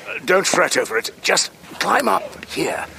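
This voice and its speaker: Earnest, animated older professor. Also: male voice, high-pitched, measured pace, gravelly, very noisy, exaggerated voice. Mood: intent. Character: earnest older professor, anxious father and amateur sleuth. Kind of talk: audio drama narration and dialogue.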